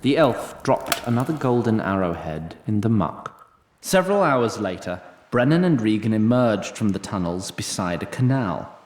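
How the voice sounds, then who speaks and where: Lively male storyteller in a roomy space. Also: fairly low pitch. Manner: measured, literary delivery. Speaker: narrator. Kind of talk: scripted fantasy story narration. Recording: roomy sound, faint noise.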